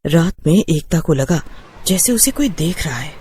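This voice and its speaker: A woman's voice: low-pitched, expressive, normal speed, dark tone, husky. A woman reading scripted horror story narration as a storyteller.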